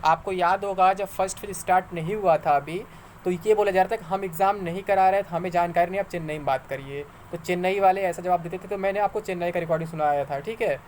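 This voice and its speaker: A man's voice: high-pitched, fast, a little hiss or hum. A man giving informal explanatory commentary to viewers, conversational and argumentative, repeating points for emphasis. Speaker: exam news YouTuber.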